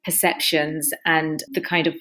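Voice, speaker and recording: A woman with a low-pitched voice conducts an interview. She puts thoughtful, considered questions as a fellow writer. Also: level tone, measured pace, pushed and bright. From South London, England.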